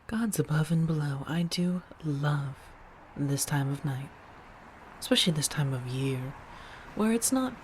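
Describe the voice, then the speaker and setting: A woman playing an affectionate, protective, elegant vampire roommate in a soft-spoken ASMR roleplay, tender and gently theatrical with breathy murmurs. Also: low-pitched, a little hiss or hum.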